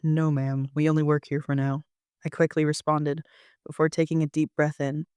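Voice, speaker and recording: A man narrating a first-person story like an amateur audiobook reader, with an even storytelling delivery. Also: fairly high pitch, moderate pace, clean tone, no room sound, studio quality.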